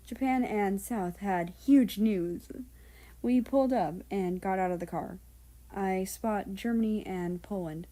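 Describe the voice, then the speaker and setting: Female story reader and host, unhurried delivery, soft-edged mellow tone, casual and scripted. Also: mid-range voice. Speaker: audiobook-style reader and channel host. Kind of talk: scripted story narration and casual outro chat.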